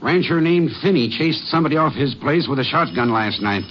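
Actor as folksy sheriff on noisy old radio. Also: male voice, on the high side, animated, fairly quick, highs cut off, lightly acted. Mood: easygoing. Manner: folksy, plain-spoken. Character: easygoing, folksy small-town Texas sheriff, helpful lawman. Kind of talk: 1950s radio drama acting.

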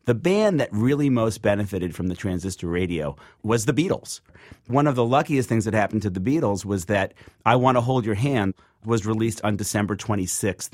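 A man's voice: fairly low pitch, no echo, clean studio sound. A man with an American accent, an interview guest explaining things in a conversational, storytelling way. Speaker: interview guest, music record label founder.

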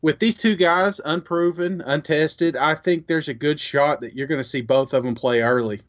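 A man with a fairly high voice chats casually as a sports podcast co-host. The recording is a little dull and lo-fi. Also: moderate pace. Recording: slightly dull, lo-fi microphone sound.

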